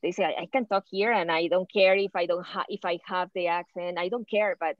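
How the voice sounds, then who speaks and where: A woman's voice: fairly low pitch, steady tone, dry recording. Hesitant woman with Colombian Spanish accent. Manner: halting, many restarts. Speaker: guest speaker. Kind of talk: casual talk on a video call. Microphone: video call. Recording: dull, lo-fi call audio.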